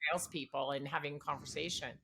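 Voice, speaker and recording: A woman's voice: low-pitched, steady tone, fast talker, creaky, crowd noise. A woman hosting a sales interview podcast, engaged and affirming, asking long multi-part questions. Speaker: podcast host.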